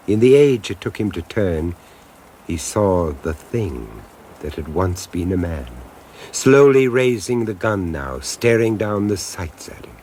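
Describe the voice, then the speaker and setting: A man's voice: deep voice, darkish tone, a little hiss or hum. An older man narrating a horror radio drama in a grave, relishing tone.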